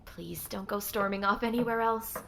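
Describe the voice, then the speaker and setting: Woman acting a bright, flustered gatekeeper. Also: medium pitch, moderate pace, creaky, slight background noise. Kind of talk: audio roleplay acting.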